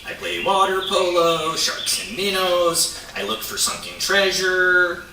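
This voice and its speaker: A man voicing a naive, excitable, curious beaver in a children's puppet show, with exaggerated exclamations and questions. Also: high voice, unhurried, vocal fry. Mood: puzzled. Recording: echoey room, distant mic, noisy.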